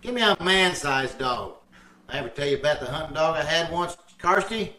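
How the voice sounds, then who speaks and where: A man acts out a folksy radio-comedy braggart with a high-pitched voice. He blusters and stammers through his boasts. The sound is echoey and distant, with some noise of a video call. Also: lively intonation, a little fast, pushed and bright. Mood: boastful. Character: folksy, boastful, bumbling husband spinning tall tales. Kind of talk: old-time radio comedy acting. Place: indoors on a live Zoom call.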